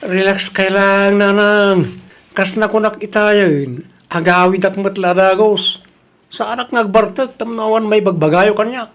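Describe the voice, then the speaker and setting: A man's voice: high voice, steady tone, a little slow, a bit bright. A man with an Ilocano Filipino accent playing a drunken, defiant husband in an audio drama. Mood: dismissive.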